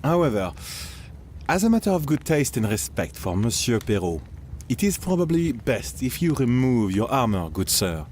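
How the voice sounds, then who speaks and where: A man's voice: medium pitch, sing-song, normal speed, a little mellow, slight background noise, close to an everyday voice. A man playing a courteous 1700s Frenchman in an audio drama, speaking politely and formally. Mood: tactful, hesitant.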